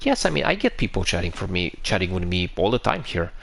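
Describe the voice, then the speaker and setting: A man's voice: deep voice, sing-song, quick pace, creaky, fairly bright tone. A man from Romania with a Romanian accent, chatting casually on a live stream, answering viewers and explaining a game with frequent restarts.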